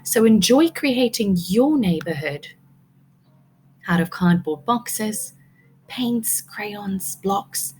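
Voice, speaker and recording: A woman's voice: mid-range voice, lively intonation, very slow, darkish tone, a little hiss or hum, poor audio. A woman teacher reading a picture book aloud to young children, expressively, prompting them with questions.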